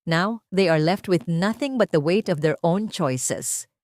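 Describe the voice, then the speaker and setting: A woman narrator voicing a proud, composed female boss with cool, measured delivery in an audio story. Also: lowish voice, expressive, a little mellow, no room sound, clean studio sound. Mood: cool, bitter. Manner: cool, measured, mixing Tagalog and English. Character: Shen Ning, proud composed wronged wife turned company boss.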